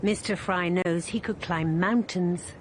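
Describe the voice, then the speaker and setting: A woman narrating a radio drama in a calm, measured storytelling voice. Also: lowish voice, heavy background noise.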